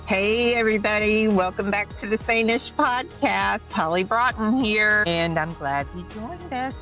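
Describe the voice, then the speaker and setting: A woman's voice: mid-range voice, measured pace, sharp tone, soft background music. A woman hosting a podcast, introducing her guest in a friendly, slightly rambling way. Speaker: podcast host.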